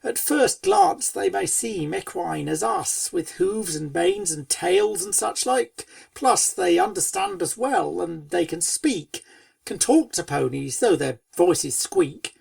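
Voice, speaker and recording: A woman's voice: on the low side, big pitch swings, slow, gravelly, a little mellow, no room sound, far from the mic, heavily acted. A woman playing a pony storyteller who tells a spooky storybook tale in verse to young foals, with dramatic, schoolteacherly delivery. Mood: spooky, theatrical. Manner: dramatic, schoolteacherly, chiding asides. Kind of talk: theatrical storybook narration in verse.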